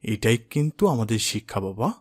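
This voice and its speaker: A man playing a pious, kindly father in a cartoon, gentle and instructive with his son. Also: lowish voice, brisk, no echo, clean studio sound, natural voice. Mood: gently instructive. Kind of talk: cartoon drama voice acting.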